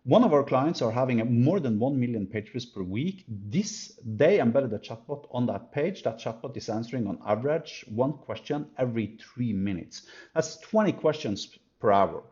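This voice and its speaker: A man presenting an unscripted software demo in an emphatic, halting way, thinking aloud as he goes. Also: mid-range voice, expressive, muffled recording. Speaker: company presenter comparing products. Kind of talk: unscripted screen-recorded product demo commentary.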